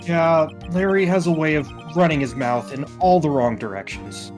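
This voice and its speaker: Woman guest on a lets play, low voice, casual chat, quiet music behind. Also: expressive, moderate pace. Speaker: guest player.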